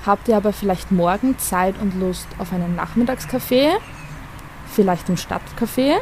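A woman playing a fussy mother of two with a soft heart in an amateur audio drama. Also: mid-range voice, moderate pace, a little hiss or hum, natural voice. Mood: curious, friendly. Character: fussy, irritable mother of two, softening into helpful listener.

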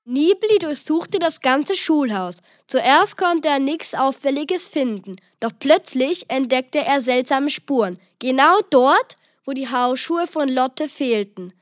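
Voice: high voice, expressive, takes it slowly, few pauses, no room sound, studio quality. A child reading scripted narration for a children's story.

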